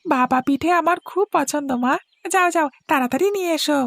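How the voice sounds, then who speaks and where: A woman's voice: sits high, a little fast. A woman voicing a spoiled, scheming young schoolboy in a cartoon, whiny and smug like a tattling mother's pet. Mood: eager. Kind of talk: Bengali cartoon voice acting.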